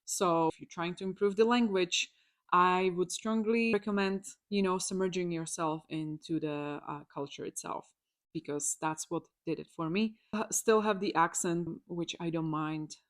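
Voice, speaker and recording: A woman's voice: on the low side, moderate pace. A young woman from the Czech Republic with a Czech accent, an electrologist telling her personal story in a chatty, candid vlog. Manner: chatty, candid, with fillers and restarts.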